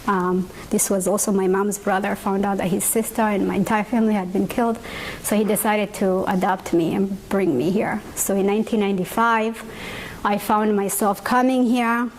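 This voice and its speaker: A young woman from Rwanda, a native Kinyarwanda speaker, gives a measured, earnest testimony in English and pauses often with "uh" and "um.". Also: medium pitch, fairly even intonation, a little hiss or hum, rough recording. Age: young adult. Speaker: genocide survivor speaker. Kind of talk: personal testimony talk.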